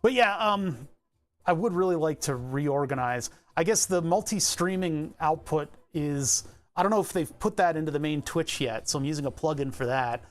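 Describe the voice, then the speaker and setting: A fairly high-voiced man rambles through a relaxed live stream, chuckling now and then as he walks through his setup. He speaks into a lapel mic clipped on in his home workshop. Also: moderate pace. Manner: easygoing, chuckles often, talks through his screen setup. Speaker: livestreamer.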